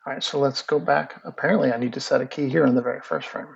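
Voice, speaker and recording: A man's voice: mid-range voice, fairly even intonation, quick pace, vocal fry, husky, muffled recording. A man teaching animation in a casual screen-recorded tutorial, rambling through steps with lots of fillers while he clicks. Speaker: animation instructor.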